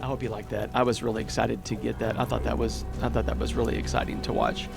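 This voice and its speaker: A man with a Texas accent giving casual, excitable voiceover commentary on his gameplay. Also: medium pitch, fast talker, vocal fry, over music. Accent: Texan. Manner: chatty, excitable, casual with filler words. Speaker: gaming YouTuber. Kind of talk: let's play voiceover commentary.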